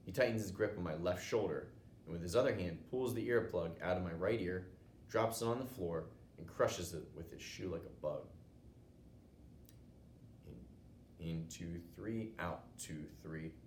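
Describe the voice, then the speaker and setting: Low-voiced American man reading a children's book. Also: unhurried. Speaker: teacher reading aloud. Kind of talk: children's storybook narration. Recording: roomy echo, noticeable background noise.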